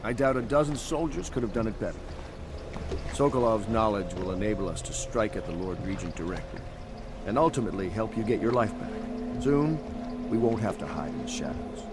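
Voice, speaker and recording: An actor playing a dignified, earnest older military leader of conspirators in a video game, speaking in a formal, measured way. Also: male voice, on the low side, expressive, over music, natural voice. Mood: grave, proud. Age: middle-aged. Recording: long echoey hall, faint background noise.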